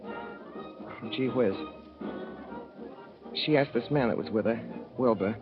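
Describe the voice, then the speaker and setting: A rambling, boyish young Midwestern man, as an actor plays him, telling his story in plain folksy talk. His voice sits at an average pitch. The sound is dull old radio, with music underneath. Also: slow, natural voice. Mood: earnest. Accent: Midwestern American. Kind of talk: 1930s radio drama narration.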